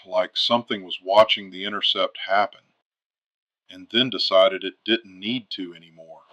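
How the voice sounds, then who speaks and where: A man acts out a retired fighter pilot major on a late-night radio drama call-in. His voice is bright and forceful. He talks bluntly and deliberately, with an uneasy candor. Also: sits low, fairly even intonation, highs cut off. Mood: uneasy, hushed.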